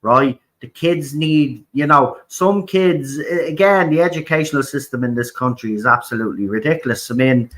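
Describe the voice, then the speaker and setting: Irish guy ranting on his show, bright edgy voice, blunt, keeps saying "right?". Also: mid-range voice, moderate pace, hardly any pauses. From Ireland. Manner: blunt, repetitive, swears, keeps asking "right?". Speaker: host. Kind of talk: opinionated political talk.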